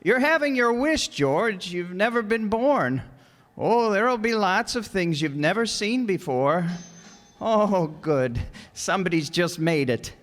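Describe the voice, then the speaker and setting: A man playing a gentle, guileless elderly guardian angel in a radio drama, courtly and fussy in an old-fashioned way. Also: high-pitched, expressive, unhurried. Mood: excited, wondrous. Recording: echoey stage hall, long reverb.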